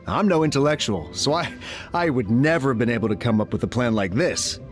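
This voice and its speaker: Guy doing a modest gushy everyman for a video game, kinda halting, swingy pitch, bit of music behind. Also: expressive, natural voice. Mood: admiring, humble. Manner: hesitant, effusive. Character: humble, earnest, grateful ordinary man.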